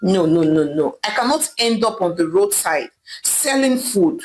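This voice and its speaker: A woman's voice: mid-range voice, lively intonation, unhurried, a little mellow, soft background music, close to an everyday voice, low-quality recording. A woman storyteller voicing a distraught, indignant young educated daughter, pleading and exclaiming with repeated phrases. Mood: anguished.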